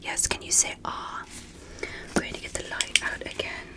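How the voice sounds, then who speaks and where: A woman whispering softly and breathily in an ASMR medical roleplay, speaking gently and deliberately in short measured phrases as a fascinated alien doctor. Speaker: ASMR performer.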